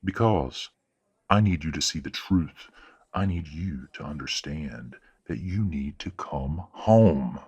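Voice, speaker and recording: A man playing a condescending, manipulative werewolf father in an audio roleplay, speaking in a measured, patronizing and coldly genteel way. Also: expressive, a little mellow, full character voice. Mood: quietly persuasive. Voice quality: deep, husky. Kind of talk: audio roleplay drama acting.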